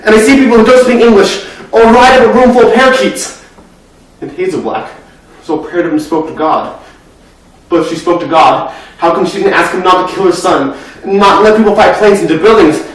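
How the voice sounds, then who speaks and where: In a heavily echoing room, far from the mic, a grieving young boy played by a young man tells his story on stage in a fairly high voice. He is anxious and earnest, spilling out run-on thoughts with raw intensity, in the manner of a competition drama monologue. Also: animated, moderate pace, close to an everyday voice. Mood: agitated, upset. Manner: earnest, intense, breathless run-on telling that swells from hushed to loud. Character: grieving, anxious, talkative young boy searching New York.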